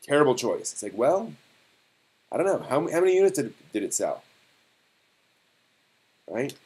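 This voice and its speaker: A man hosting a casual live stream discussion, thinking aloud in a halting way and posing rhetorical questions. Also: mid-range voice, big pitch swings, a little mellow, husky.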